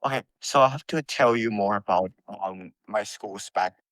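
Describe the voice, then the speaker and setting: Young Thai-born man, low and careful. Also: moderate pace, a bit bright, dry recording. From Thailand. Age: young adult. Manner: careful, hesitant with many ums. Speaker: guest, college freshman. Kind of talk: interview answers.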